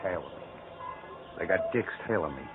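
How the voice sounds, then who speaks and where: An actor playing a troubled, defiant ex-convict with curt, agitated street talk in a 1940s radio drama. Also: male voice, deep voice, expressive, normal speed, narrow, tinny audio. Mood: angry, scheming. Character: bitter, troubled male ex-convict, defiant and brooding. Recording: thin, slightly noisy old radio recording.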